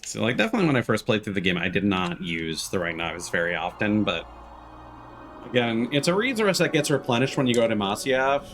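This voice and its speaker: A man with a low voice streams a video game, talking casually as he plays. His asides are wry and understated, and game music plays clearly behind him. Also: lively intonation, fairly quick, a bit bright. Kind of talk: casual let's play commentary.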